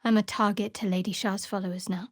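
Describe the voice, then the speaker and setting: An actress playing a guarded, secretive young cleric of a dark goddess in a video game, with a measured, dry delivery. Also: female voice, mid-range voice, steady tone, dry recording, lightly acted. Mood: somber, confiding.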